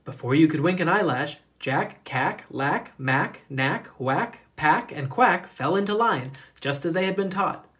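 A storybook reader narrating a children's picture book in a deliberate, expressive storytelling manner. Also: mid-range voice.

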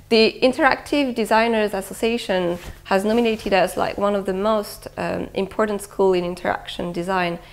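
A woman at a medium pitch. She presents a programme to camera in an informational way, recorded indoors on a lapel mic. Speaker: head of international affairs at a design school. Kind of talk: promotional interview to camera. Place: indoor room with bookshelves.

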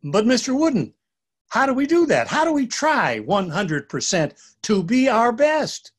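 A man's voice: very high voice, sing-song, measured pace, pitched above the performer's own voice, heavily acted. A man voicing a small, eager young animal schoolchild with an earnest, questioning manner in a storybook. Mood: puzzled.

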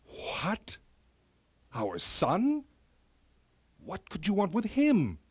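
A man's voice: high-pitched, huge pitch swings, very slow, darkish tone, husky, dry recording, voice pushed up, exaggerated voice. A man voicing an earnest, noble king in a children's fairy tale, grave and declamatory. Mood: startled, demanding. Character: earnest, noble king, devoted to his people.

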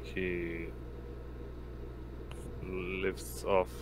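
Man, game master, low-pitched, slow halting narration, flat intonation, noisy online call. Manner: halting, hesitant delivery. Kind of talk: narrating an online tabletop role-playing game.

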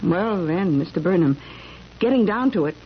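Probing doctor, very animated pitch. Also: female voice. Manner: measured, questioning. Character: probing, matter-of-fact female doctor. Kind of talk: 1970s radio drama acting. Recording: dull, noisy 1970s radio recording.

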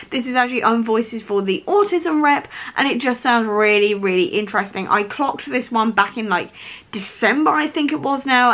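A young woman in her twenties chatting to camera about upcoming books, her voice a little rough because she is ill and losing it, with lots of "really, really". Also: medium pitch, a bit bright. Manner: chatty, repeats "really, really" for emphasis. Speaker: book vlogger. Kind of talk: casual book chat to camera. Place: small room, seated on a sofa.

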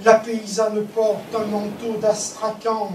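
A woman solemnly declaiming epic poetry in measured, incantatory short phrases. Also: mid-range voice, completely flat delivery. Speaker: poetry reciter. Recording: echoey, distant microphone, clear background noise.

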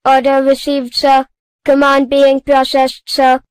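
Woman as a cartoon household robot, very monotone, high, officious, clipped, literal-minded. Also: slow, no echo. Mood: officious, flat. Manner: formal, clipped, monotone correcting.

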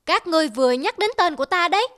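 A woman's voice: very high voice, animated, fast talker. A woman voicing an arrogant, boastful big male eagle in a cartoon, speaking with haughty scorn and threats. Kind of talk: cartoon voice acting. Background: loud music.

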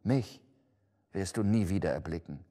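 A man's voice: low-pitched, moderate pace, mellow, lightly acted. A man voicing a stern, doomed male ghost in chains for a storybook, solemn, declamatory and lamenting. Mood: urgent, warning. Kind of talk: dramatic storybook reading. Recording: long hall-like reverb.

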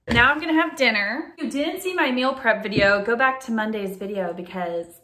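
A woman's voice: medium pitch, pushed and bright, slight background noise. A young woman chatting casually to viewers in an upbeat vlog, talking while she eats in her home kitchen. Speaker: vlogger.

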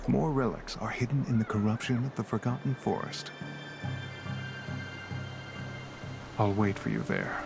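An actor playing a gentle, sorrowful old village elder in a video game, speaking in a solemn, reflective, measured way with a gravelly voice. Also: male voice, mid-range voice. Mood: hopeful, resolute. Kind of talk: scripted video game cutscene acting. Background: music under the voice.

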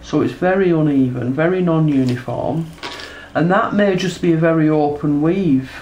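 A woman with a stuffed-up nose talks through a hands-on art demonstration at a table. Her delivery is chatty and unhurried, tossing in little tag questions as she sketches. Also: deep voice, warm tone, dull audio, a little hiss or hum. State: congested from a cold. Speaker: textile artist demonstrating her process. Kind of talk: casual tutorial narration while drawing. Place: indoors at a table.

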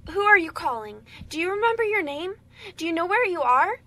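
Radio drama nurse female performer: startled, extremely high, animated. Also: fairly quick, exaggerated voice. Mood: shocked, excited. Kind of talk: amateur radio drama acting.

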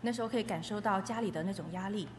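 A woman interprets a testimony phrase by phrase at a fast pace. Her pitch is medium, and her voice carries the reverb of a hall, with faint noise behind it. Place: echoey hall.

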